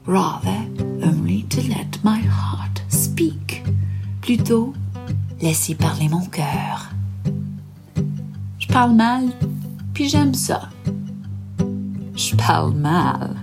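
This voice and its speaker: Alberta farm french woman doing spoken word, slow and punchy, guitar behind her. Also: on the low side, vocal fry. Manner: slow, emphatic, defiant delivery. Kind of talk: bilingual spoken word poetry. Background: guitar music.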